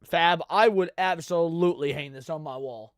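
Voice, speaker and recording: A man's voice: fairly high pitch, normal speed, heavy background noise. A man hosting a livestream, giving casual, informal reaction commentary. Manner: informal, swearing. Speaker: livestream host.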